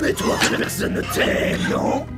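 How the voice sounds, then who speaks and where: A man voicing a smug, mocking robot clown with a gravelly, theatrical delivery in a cartoon fan dub. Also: lightly acted. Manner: theatrical, drawn-out taunting. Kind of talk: animated series fan dub. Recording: loud music behind.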